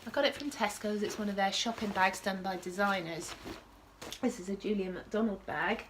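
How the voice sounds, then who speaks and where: A woman's voice: medium pitch, normal speed, background noise. A woman hosting a casual knitting podcast from home, sniffling with a starting cold and rambling with frequent self-corrections. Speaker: podcast host.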